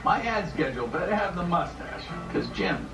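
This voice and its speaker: A man delivers a heartfelt spokesman tribute in a commercial, his voice lively and expressive. The sound is roomy and distant, with music running behind. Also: high-pitched, normal speed, gravelly. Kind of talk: tribute advertisement. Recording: echoey broadcast audio from far off.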